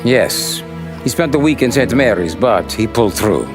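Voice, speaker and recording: An actor playing a shrewd, coolly formal mafia adviser, speaking precisely and with composure in a crime game. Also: male voice, mid-range voice, animated, moderate pace, over music, close to an everyday voice. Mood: grave. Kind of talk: cinematic crime game dialogue.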